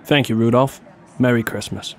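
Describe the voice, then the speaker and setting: Deadpan hard-boiled detective played by a man, music behind. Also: mid-range voice, normal speed, lightly acted. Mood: pressing, sardonic. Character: hard-boiled male detective, tough, dry, threatening. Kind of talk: noir radio play acting and narration.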